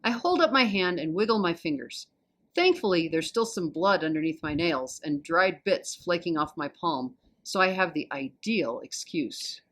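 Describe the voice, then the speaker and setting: A woman with an American accent reading a children's novel aloud as an expressive storyteller. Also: fairly low pitch, moderate pace. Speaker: volunteer storybook reader.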